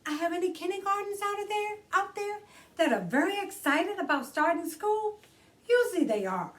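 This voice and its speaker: A woman's voice: extremely high pitch, sing-song, normal speed, recorded from a distance. A woman hosting a children's storytime, reading a storybook aloud expressively and addressing young listeners directly in a theatrical way.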